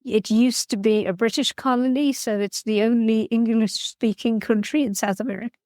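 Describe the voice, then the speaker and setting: A woman's voice: fairly high pitch, fairly even intonation, normal speed, a little mellow, dry recording, clean studio sound. A woman from Guyana, a surgeon, answering interview questions in a plain, practical, explanatory way. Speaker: orthopedic surgeon guest.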